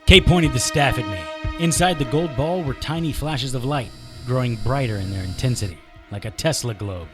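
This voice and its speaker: A man's voice: mid-range voice, moderate pace, hardly any pauses, over music, lightly acted. A man playing a battered, wisecracking private investigator in an audio drama, narrating in a sardonic, hard-boiled manner. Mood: tense narration.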